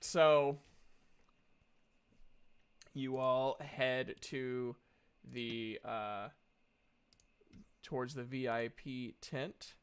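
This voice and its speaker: A man's voice: mid-range voice, steady tone, extremely slow, long pauses, clear, resonant tone. A man with a Southern US accent narrating a tabletop fantasy roleplay game as game master.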